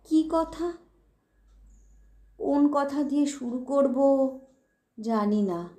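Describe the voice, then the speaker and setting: A woman plays a ghostly gentleman poet in an audio ghost story, melancholy and secretive. The delivery is slow and halting, with a hushed, plaintive politeness. The sound is noticeably roomy. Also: high-pitched. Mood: despairing.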